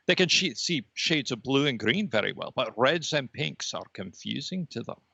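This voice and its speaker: A smug, otherworldly dot of energy played by a man holds forth in a mock interview. The voice is crisp-edged and forceful with a gravelly fry, condescending and measured, on a dry, slightly dull recording. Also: medium pitch, sing-song, no echo, exaggerated voice. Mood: condescending, explanatory. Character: smug male energy being, a red laser dot. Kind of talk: improvised comedy mock interview.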